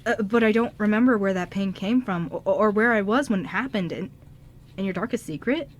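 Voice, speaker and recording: A woman's voice: medium pitch, close to an everyday voice. A woman playing an anxious, confused young man in an amateur radio drama, stammering and pleading. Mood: lost, anguished. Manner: stammering, halting.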